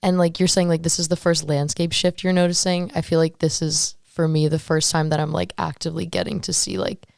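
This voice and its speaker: A woman of twenty-two hosting a podcast, chatting candidly and playfully on a studio boom mic. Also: level tone, creaky, darkish tone, no room sound. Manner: candid, playful, quick to laugh. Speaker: podcast host. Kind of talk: casual podcast chat. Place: small decorated podcast studio. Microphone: studio boom mic with headphones.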